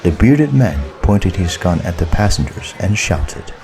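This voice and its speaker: Male narrator, low register, unhurried and deliberate scripted storytelling. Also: expressive, background noise. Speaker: audiobook narrator.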